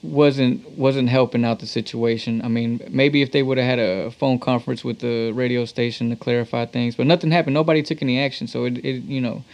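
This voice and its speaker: A man's voice: mid-range voice, steady tone, fairly quick, hardly any pauses, darkish tone. A man from Texas, a musician, telling stories in a casual interview in laid-back, slangy street talk. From Texas, United States. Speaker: musician, talk box artist being interviewed. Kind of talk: casual interview, anecdotal storytelling.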